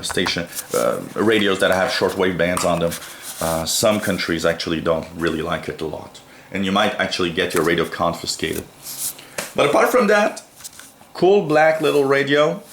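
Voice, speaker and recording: A man's voice: lowish voice, fairly bright tone. A man giving a casual, unscripted product review in an explanatory way, going back over his points. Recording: echoey room with faint background noise.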